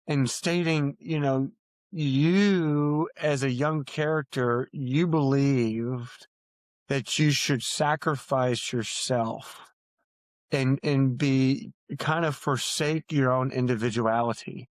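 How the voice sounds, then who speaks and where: A man's voice: mid-range voice, steady tone, takes it slowly, no room sound, studio quality. A man talking earnestly as an author guest on a podcast, repeating phrases for emphasis. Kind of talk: earnest podcast interview talk.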